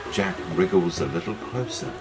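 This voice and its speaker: Give this a gentle storyteller for a children's tale. The voice sits low and takes its time, rising and falling expressively. Music plays clearly behind it. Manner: measured, expressive delivery.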